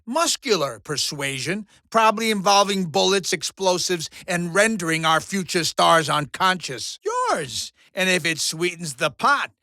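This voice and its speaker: A man's voice: high voice, vocal fry, bright, forceful tone, no echo, studio quality, full character voice. A man voicing a pompous, sleazy alien media mogul in a video game, with hammy, theatrical showman delivery. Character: bombastic, sleazy alien showbiz mogul, male, crude and bullying.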